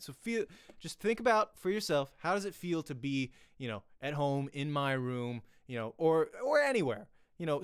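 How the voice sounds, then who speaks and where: A man, teaching an informal acting lesson in an animated, conversational way, often checking in with "right?". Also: mid-range voice, clean tone, no room sound, studio quality. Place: small home room, indoors. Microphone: close desk microphone, headset on.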